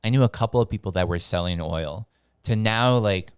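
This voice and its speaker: A man's voice: on the low side, fairly quick, smooth, dry recording, studio quality. A man hosting a podcast, chatting easily and affirming his guest often. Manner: friendly, affirming, lots of backchannel. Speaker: podcast host. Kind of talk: casual podcast chat.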